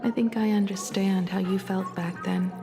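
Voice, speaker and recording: An actress playing a devoted, nostalgic young adult daughter and star navigator in a video game, speaking softly and sincerely with composure. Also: female voice, medium pitch, fairly even intonation, normal speed, lightly acted. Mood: heartfelt, reflective. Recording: music under the dialogue.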